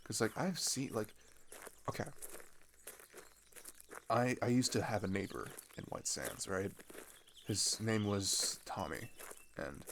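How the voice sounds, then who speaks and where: A young man playing a scared, grieving older teenage boy in an intimate audio roleplay, talking in a halting, casual, rambling way. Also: on the low side, gravelly, background noise, exaggerated voice. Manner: halting, rambling, casual youthful speech with sighs.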